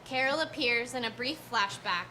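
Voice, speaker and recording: A woman's voice: fairly high pitch, normal speed, sharp tone. A woman reading scene headings and stage directions as scripted narration at a live staged reading. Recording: noisy live stage, distant sound.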